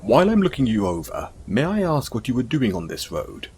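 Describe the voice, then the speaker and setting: A man playing a gentle, caring, self-conscious centaur in an intimate audio roleplay, speaking in a soothing, hesitant, apologetic way. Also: medium pitch, wildly swooping pitch, a little fast, a little hiss or hum. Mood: caring, soft-spoken.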